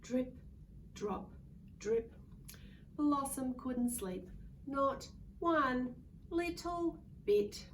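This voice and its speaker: A woman's voice: sits high, expressive, extremely slow, long pauses. A woman reading a picture book aloud to young children in a sing-song way, coaxing them to join in. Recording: distant mic with faint background noise.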